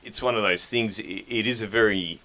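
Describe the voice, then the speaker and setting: Man, very low pitch, bright forceful tone, laid-back self-deprecating co-host. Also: fairly even intonation, brisk. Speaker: podcast co-host. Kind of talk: casual podcast chat.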